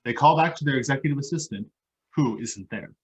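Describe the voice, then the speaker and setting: Husky man reading stage directions. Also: mid-range voice, fairly quick. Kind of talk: scripted narration of play.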